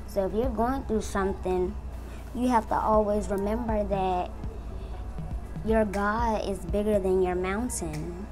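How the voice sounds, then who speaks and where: A woman's voice: medium pitch, steady tone, a little slow, music in the background. A woman in her twenties, a beauty vlogger, telling her personal story in a casual, earnest way and talking straight to her viewers. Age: 20s. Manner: chatty, earnest, addresses viewers as y'all. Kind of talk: casual testimony while doing makeup.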